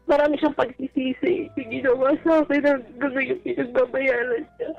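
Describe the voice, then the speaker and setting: Dull, band-limited radio audio with faint music carries a Filipino-accented woman's creaky voice. She tells her personal story casually and deferentially, often halting as she goes. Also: high voice, fairly even intonation. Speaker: advice-seeker on a radio show. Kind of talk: personal account in casual, polite talk. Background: background music.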